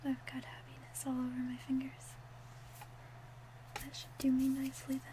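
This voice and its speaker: A woman's voice: high voice, monotone, close to an everyday voice. Woman playing a whispering witch, noisy recording. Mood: gentle, unhurried. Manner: unhurried, soothing, whispery. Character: gentle, attentive witch mixing love potions. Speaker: ASMR roleplay performer. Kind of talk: soft whispered ASMR roleplay.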